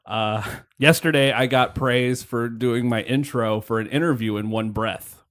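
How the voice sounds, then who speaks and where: Man, 30s, podcast co-host, casual chat, excitable, laughs and swears, mid-pitched voice. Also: unhurried. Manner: excitable, laughs and swears, jumps between thoughts.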